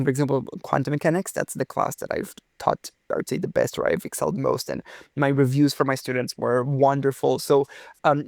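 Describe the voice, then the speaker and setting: A man's voice: mid-range voice, gravelly, no echo, clean studio sound. A young man from Romania, a physicist guest, telling his story in a casual interview with enthusiastic, theatrical phrasing.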